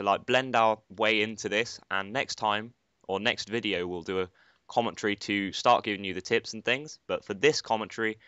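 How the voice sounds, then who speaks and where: A man's voice: on the low side, pushed and bright, clear, resonant tone, no room sound, highs cut off. A teenage boy hosting a casual gaming commentary, chatty and rambling with lots of filler phrases. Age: teens. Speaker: channel host, gamer.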